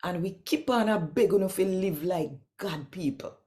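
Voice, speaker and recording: A woman with a Jamaican accent reading scripture expressively in Patois, with deliberate, emphatic, storytelling phrasing. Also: fairly low pitch, dark tone. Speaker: scripture reader.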